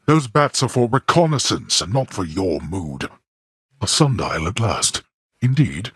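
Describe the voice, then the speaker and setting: An actor playing a stern, scornful old god-king of the underworld in a video game, pompous and imperious, with a gravelly voice and formal, archaic phrasing. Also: deep voice, a bit bright, dry recording, clean studio sound, heavily acted. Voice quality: gravelly, husky. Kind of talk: video game character acting.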